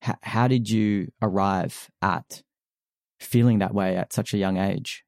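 A man with a fairly low voice, hosting an interview. He asks his questions haltingly, full of ums and restarts. The sound is dry and close, with almost no room. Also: fairly even intonation, gravelly, a little mellow, no room sound. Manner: friendly, halting questions with many fillers. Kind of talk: casual interview.

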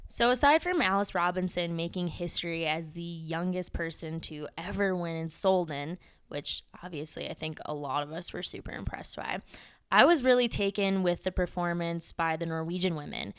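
A woman co-hosting a sports podcast, giving steady, measured commentary. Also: fairly low pitch, smooth, no room sound, studio quality. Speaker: podcast co-host. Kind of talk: casual podcast commentary on ski racing.

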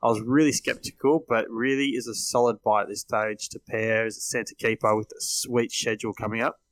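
A man's voice: lowish voice, fairly even intonation, brisk, dry recording. An Australian man giving casual sports team analysis as a podcast panellist.

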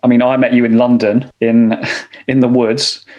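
A man hosting a podcast interview, asking questions in a measured way. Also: medium pitch, little pitch movement, a little mellow, lo-fi sound. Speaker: podcast host.

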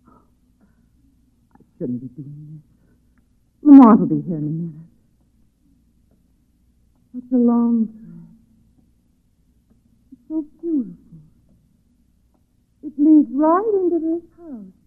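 A polite young heroine of vintage radio drama. Her voice sits a little high, hesitant and full of uneasy curiosity. The sound is narrow and phone-like. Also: lively intonation, slow pace, pauses often, husky, heavily acted. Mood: curious, uneasy. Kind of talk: 1940s radio drama acting and inner monologue.

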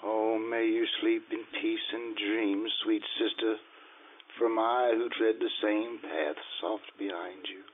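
An actor playing a morbid, tormented aristocrat slipping into madness, brooding and intense in an old-time radio horror style. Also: male voice, on the low side, fairly even intonation, takes it slowly. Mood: morbid tenderness. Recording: muffled, band-limited radio recording.

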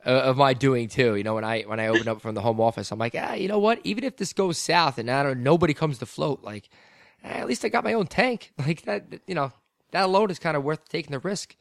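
A man's voice: medium pitch, expressive, quick pace, creaky, no room sound, clean studio sound. A man hosting a podcast in casual chat, laid-back and a bit mumbly, laughing often. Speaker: podcast host.

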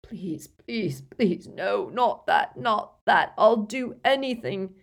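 A woman narrator acts out an old wizard professor in torment from a storybook. The voice is strained and croaking, crying out and begging in anguish. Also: medium pitch, lively intonation, very slow, warm tone, voice pushed up, exaggerated voice. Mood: pleading. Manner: anguished, pleading cries. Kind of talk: audiobook character voice.

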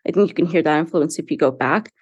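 A woman's voice: deep voice, fast, breathy edge, no room sound, muffled recording. A young woman, a singer-songwriter, talking earnestly and casually about her music in an interview, with frequent fillers and restarts. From United States. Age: young adult.

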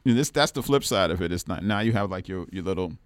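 Deep voiced new musician, rambly and easygoing, dry close mic. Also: male voice, animated, fast talker, dry recording. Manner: loose, rambling, laughs often. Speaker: musician guest. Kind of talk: casual radio chat. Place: radio studio. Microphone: studio microphone.

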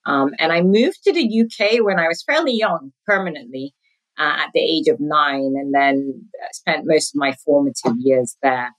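A woman's voice: low voice, expressive, moderate pace, rough recording. A woman in her forties, from Sudan with a British accent, chatting as a podcast guest and laughing often. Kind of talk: conversational interview.

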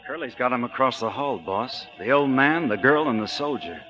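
Dutiful middle-pitched gangster henchman. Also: animated, normal speed, bright, forceful tone. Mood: matter-of-fact. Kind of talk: 1940s radio acting. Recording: dull old radio, faint music.

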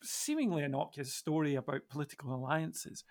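A man co-hosting a reflective, conversational Bible discussion, musing in a halting but emphatic way. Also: a little high, expressive. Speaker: podcast co-host.

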